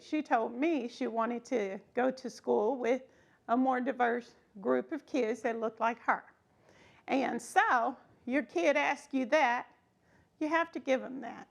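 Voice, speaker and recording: A woman's voice: high voice, gravelly. A middle-aged woman giving an informal read-aloud storytime with folksy, conversational asides. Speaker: storytime reader, schoolteacher.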